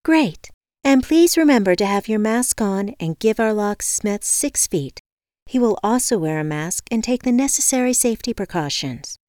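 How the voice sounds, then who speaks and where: A woman voicing a pleasant, patient female dispatcher in an audiobook, polite and calm as if reciting. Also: mid-range voice, moderate pace, no echo, clean studio sound, pitched above the performer's own voice, lightly acted. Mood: chirpy, reciting. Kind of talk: acted audiobook dialogue.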